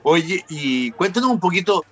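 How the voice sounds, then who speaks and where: A man's voice: sits high, sing-song, moderate pace, lo-fi sound, a crowd in the background. A Chilean man hosting a casual interview show, chatty, playful and teasing with his guest. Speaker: talk show host and interviewer.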